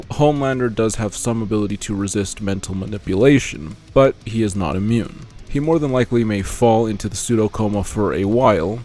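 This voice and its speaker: Male narrator, fairly low register, scripted explainer read over a light music bed. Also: a little mellow. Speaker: video essay narrator.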